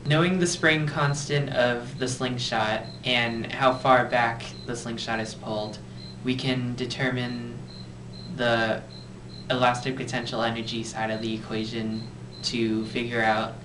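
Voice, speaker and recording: A man's voice: medium pitch, flat delivery, a little slow, echoey room, distant mic. A man giving scripted educational narration as a physics explainer, recorded with amateur, noisy sound.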